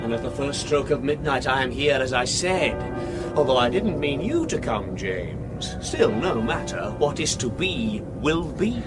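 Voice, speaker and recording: An actor playing a vengeful servant of the devil in a radio horror play, speaking in a menacing, ceremonial way. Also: male voice, medium pitch, big pitch swings, unhurried. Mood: cold menace. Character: vengeful male occultist serving the devil. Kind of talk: British radio horror drama acting. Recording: loud music over the voice.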